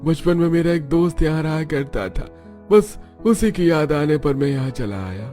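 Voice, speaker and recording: A kindly old village man acted by a man in a cartoon tale, music playing underneath. His voice is mellow and somewhat high for a man, and he reminisces gently and gratefully. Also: animated, moderate pace, lightly acted. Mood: grateful, wistful. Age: older. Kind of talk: animated story dialogue.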